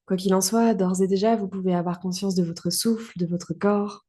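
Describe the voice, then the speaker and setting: A woman guiding a relaxation meditation as a yoga teacher, calm and soothing, in short phrases with long pauses. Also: mid-range voice, breathy edge. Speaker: yoga nidra guide.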